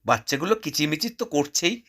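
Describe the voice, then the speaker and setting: A man's voice: on the high side, big pitch swings, brisk, sharp tone. A man narrating a scripted cartoon story as the storyteller.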